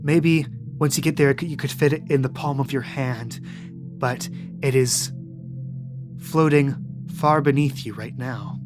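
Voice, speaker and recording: A calm game master walks the players through an eerie dreamscape in steady, descriptive narration. Music runs under the voice throughout. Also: medium pitch, measured pace, pauses often.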